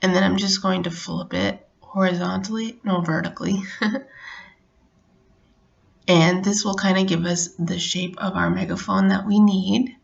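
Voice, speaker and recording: A middle-aged woman narrating a casual how-to as a craft tutorial host, explaining step by step in a relaxed way. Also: medium pitch, measured pace, gravelly, lo-fi sound.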